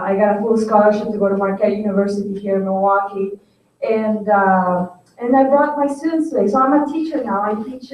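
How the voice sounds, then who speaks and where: A woman's voice: mid-range voice, darkish tone. Woman in an echoey hall. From Paraguay. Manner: earnest, with many fillers. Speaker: economics teacher. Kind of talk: personal introduction at a forum. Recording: echoey hall, distant and dull.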